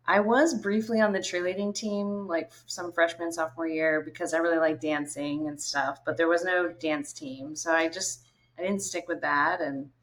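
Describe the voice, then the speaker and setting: Easygoing low-voiced woman guest. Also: a bit bright. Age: 30s. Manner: easygoing, many fillers like "like". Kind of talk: casual interview chat.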